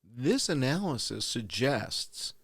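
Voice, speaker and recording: A man hosting, reading a research report aloud in a deliberate, emphatic way. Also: medium pitch, sing-song, slightly rough, no room sound, clean studio sound. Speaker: host.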